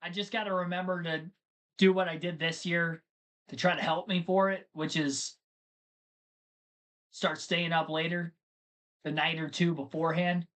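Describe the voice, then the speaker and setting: A man's voice: fairly high pitch, little pitch movement, normal speed, long pauses, a bit bright. A man hosting a live race stream, chatting casually and rambling to his viewers between race updates. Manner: chatty, rambling, talks to chat.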